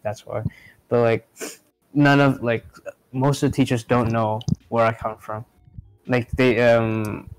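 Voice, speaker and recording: A man's voice: mid-range voice, clean tone, low-quality recording. A young man co-hosting a casual podcast chat, rambling with many fillers and restarts. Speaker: podcast co-host.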